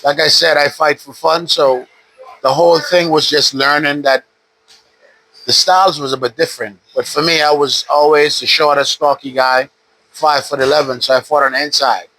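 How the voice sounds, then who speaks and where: A man in his fifties from the Bahamas, a retired boxer calmly telling his story in an interview at a boxing gym. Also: on the high side, moderate pace, no echo, poor audio. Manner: relaxed, steady storytelling with frequent "uh". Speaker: retired pro boxer, guest. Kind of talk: casual interview.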